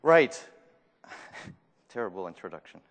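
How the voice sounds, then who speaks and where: A man's voice: on the low side, very animated, takes it slowly. French-accented man presenting in a hall. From Argentina. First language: Spanish. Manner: self-deprecating, jokey, asks the audience questions. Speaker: conference speaker. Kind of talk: live conference presentation. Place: large conference room. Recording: reverberant hall.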